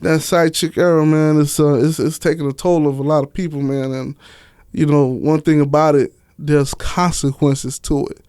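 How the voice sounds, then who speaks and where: A man's voice: fairly high pitch, level tone, moderate pace, mellow, clear, resonant tone, no room sound, studio quality. An American man being interviewed, chatting casually and emphatically in slang, peppering his talk with "you know" and "man". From Miami, Florida, United States. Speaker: interview guest, author and stage play director.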